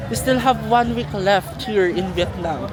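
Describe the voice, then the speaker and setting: A man's voice: sits high, unhurried, over music. A Filipino man chatting casually and ramblingly as a travel vlogger, mixing in English, with a Filipino accent. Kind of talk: casual vlog chat. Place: outdoors on busy city streets. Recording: noisy outdoor street, traffic and crowds. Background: street crowd, vehicles.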